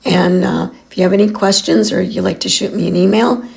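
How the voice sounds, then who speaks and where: A middle-aged woman hosting her own podcast, with a hoarse, raspy voice, reading points from a list and breaking off into rambling personal asides. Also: lowish voice, steady tone, a little fast, darkish tone. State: hoarse from illness. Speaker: podcast host.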